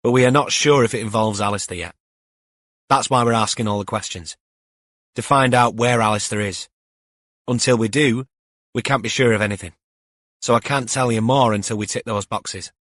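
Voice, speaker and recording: A man voicing a careful woman police detective in an audiobook, speaking in a measured, polite, guarded manner. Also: medium pitch, a bit bright, dry recording, studio quality, natural voice. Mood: careful, reassuring.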